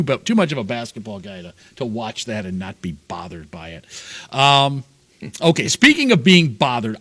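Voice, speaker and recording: A man's voice: mid-range voice, a bit bright. A man hosting a casual sports talk show, chatty, laughing often and getting worked up.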